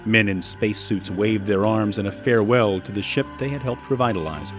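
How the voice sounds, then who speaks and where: A man's voice: lowish voice, fairly quick. A man narrating a scripted science fiction story in a dramatic storytelling style. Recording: loud music bed behind narration.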